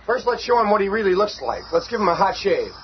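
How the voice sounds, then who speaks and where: An actor playing a defiant, earnest teenage superhero in a radio drama. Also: male voice, high-pitched, very animated, fast talker. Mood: confident. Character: hot-headed, defiant, decent teenage boy superhero with flame powers. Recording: dull, faintly noisy radio recording.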